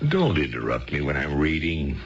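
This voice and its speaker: Aloof bookish husband, really low voice, terse, swoops around, old muffled radio play. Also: male voice, wildly swooping pitch, normal speed, faint music behind, heavily acted. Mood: irritated. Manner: terse, distracted. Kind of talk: 1970s radio drama acting. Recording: muffled vintage radio broadcast recording.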